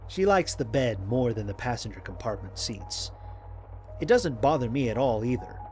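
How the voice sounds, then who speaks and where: A man playing a gentle, patient, thoughtful astronaut explorer in an audio drama, talking and narrating mission logs. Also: mid-range voice, sing-song, normal speed, soft background music, lightly acted. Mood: reflective.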